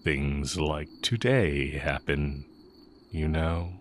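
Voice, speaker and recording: A man acting as a sly, coolly teasing heretic magic teacher in an intimate audio roleplay, musing and taunting with drawn-out pauses. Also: extremely low pitch, lively intonation, a little hiss or hum, heavily acted. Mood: teasing, suspicious.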